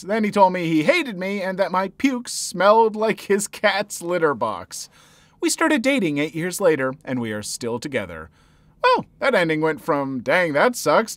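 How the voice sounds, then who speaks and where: A man with a fairly high voice reads listener stories and chats about them like a podcast host. His delivery swings between loud, lively bursts and quiet, hushed asides. The sound is dry and close, with no room around it. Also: dry recording. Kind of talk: story reading with commentary.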